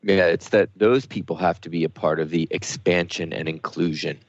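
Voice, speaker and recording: Gravelly, husky man hosting radio. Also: low-pitched, fairly even intonation, normal speed, dry recording, lo-fi sound. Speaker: radio show host.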